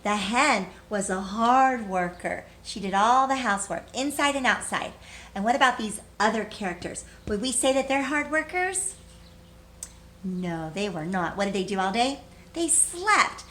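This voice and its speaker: A woman's voice: mid-range voice, lively intonation, a little slow, fairly bright tone. Woman teaching young children, noisy recording. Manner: simple, encouraging teacher talk, asks questions and answers them herself. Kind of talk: children's read-aloud lesson with questions and answers.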